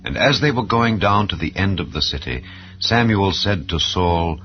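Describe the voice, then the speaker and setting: A man's voice: sits low, animated, moderate pace. A man narrating a dramatized scripture audiobook in a solemn, formal storytelling manner. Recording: slightly dull sound with background music. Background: soft instrumental background music.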